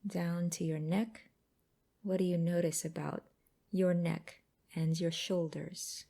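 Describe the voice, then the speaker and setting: A woman guiding a mindfulness exercise in a gentle, unhurried, instructive way with pauses between phrases. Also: fairly low pitch, steady tone, gravelly. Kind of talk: guided meditation narration.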